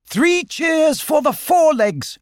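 A man's voice: sits high, sing-song, measured pace, no room sound, studio quality, pitched above the performer's own voice. A man voicing a kindly, earnest young boy in a storybook. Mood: jubilant.

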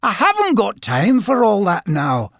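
A prim male rabbit from a storybook acted by a man, fretful and quick to take offence. His voice is raised and lively, with an anxious, fussy edge. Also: sits high, gravelly, no echo, studio quality, voice pushed up, full character voice. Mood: flustered. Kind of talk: storybook character voice.

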